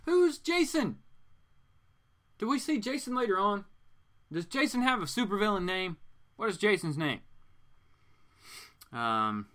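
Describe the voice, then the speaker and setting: A man's voice: high voice, sing-song, measured pace, pauses often, fairly bright tone. A man hosting a comics read-aloud, delivering it dramatically with informal, joking asides. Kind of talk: dramatic comic book reading with casual asides.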